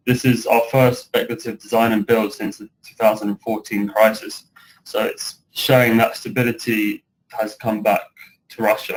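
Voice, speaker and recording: Low, flat-toned British man presenting. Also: a little hiss or hum, low-quality recording. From United Kingdom. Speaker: guest speaker, project director. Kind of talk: webinar presentation.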